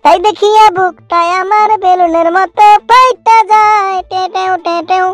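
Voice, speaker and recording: A cheeky cartoon schoolboy acted by a man with a high, pitched-up voice and a cocky, silly sing-song delivery. Music plays lightly behind him. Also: fairly even intonation, unhurried, fairly bright tone. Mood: playful singing. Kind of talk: comic cartoon acting.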